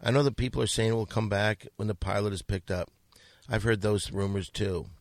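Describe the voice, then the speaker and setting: A man hosting a podcast who reads listener letters aloud in a mock-earnest, deadpan way. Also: on the low side, brisk, dry recording, studio quality. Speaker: podcast host.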